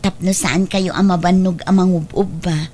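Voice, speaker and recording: A woman's voice: fairly low pitch, steady tone, normal speed, a little mellow, a little hiss or hum, close to an everyday voice. A woman playing a forgiving, respectful grown daughter and young mother in a radio drama, with an Ilocano accent. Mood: obliging. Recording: music bed behind the voice.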